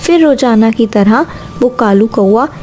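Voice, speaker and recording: A woman's voice: fairly high pitch, animated, unhurried, warm tone, a little hiss or hum. A woman narrating a scripted children's cartoon story.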